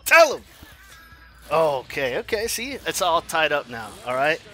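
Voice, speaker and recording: A man in his forties commenting on his own online fighting game matches, swinging from low mutters to loud yells, laughing and cursing at himself and his opponent. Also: very animated, unhurried, soft background music. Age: 40s. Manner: profane, self-mocking trash talk. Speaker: gaming YouTuber. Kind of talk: live gameplay commentary.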